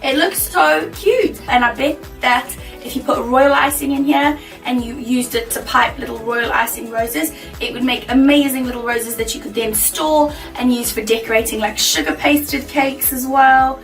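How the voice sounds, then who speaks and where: A woman with a croaky, worn voice chatting casually to camera as a baking vlogger, bubbly and laughing easily. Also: sits high, moderate pace, few pauses, roomy sound, distant mic, faint music behind, poor audio. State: croaky, losing her voice.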